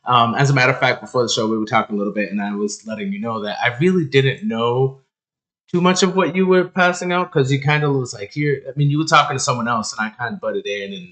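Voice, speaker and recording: A man hosts a casual interview show. He speaks in an effusive, jokey way, rambling from one aside to the next with plenty of informal slang. His pitch sits in a middle range for a man. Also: fast talker, fairly bright tone.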